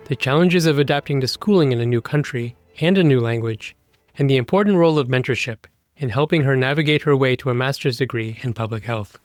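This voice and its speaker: A man hosting a podcast, introducing the guest and asking measured questions. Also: mid-range voice. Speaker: podcast host.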